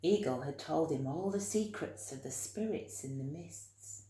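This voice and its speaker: A woman reading a children's story expressively, with dramatic emphasis and drawn-out key words. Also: low voice, warm tone, roomy sound.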